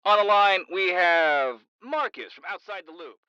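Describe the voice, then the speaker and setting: A man's voice: high voice, expressive, a little slow, bright, forceful tone, clean tone, no echo, highs cut off, exaggerated voice. An actor playing a brash talk radio host with showman patter, in a radio drama. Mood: animated.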